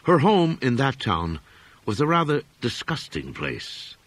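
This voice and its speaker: A man reading a gothic horror story aloud as an audiobook narrator, in a measured, formal, expressive manner. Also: medium pitch, no echo.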